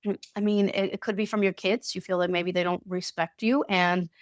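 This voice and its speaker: A woman giving a casual online talk with slides, slightly nasal from a cold, with halting speech and frequent restarts. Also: fairly low pitch, steady tone, dry recording, clean studio sound. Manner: halting, many restarts and repeated words. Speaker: presenter.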